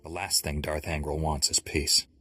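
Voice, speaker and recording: A heroic Jedi Knight with a very low voice. He is stoic and serious in game dialogue, with faint music underneath. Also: little pitch movement, moderate pace. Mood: grave. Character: earnest, stoic young male Jedi Knight hero. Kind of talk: video game cutscene acting.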